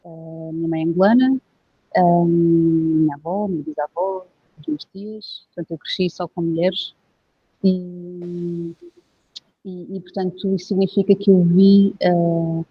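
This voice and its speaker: A husky woman with a European Portuguese accent talks in a reflective, halting way, often pausing on filler words. Her voice comes through a dull, lo-fi recording with a dry, close sound and no room echo. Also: on the low side, steady tone. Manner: reflective, hesitant, with frequent filler phrases. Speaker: guest herbalist. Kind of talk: casual interview conversation.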